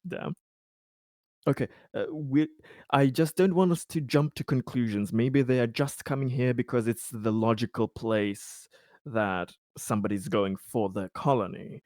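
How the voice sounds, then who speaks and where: A man's voice: fairly low pitch, darkish tone, no echo, studio quality. A man playing a tabletop roleplaying game, talking through plans casually with the group. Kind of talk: casual group planning chat.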